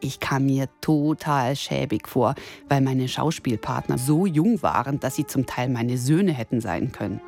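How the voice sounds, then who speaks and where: A woman's voice: very deep voice, measured pace, darkish tone, faint music behind. A woman of thirty-nine delivering an ironic, self-mocking scripted radio monologue in the first person. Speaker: radio columnist and presenter.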